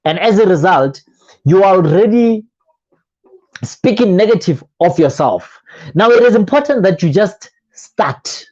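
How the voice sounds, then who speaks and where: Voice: mid-range voice, lively intonation, a little slow, a little mellow, dull audio. A motivational coach giving a talk full of rhetorical questions and repeated phrases, often restarting words.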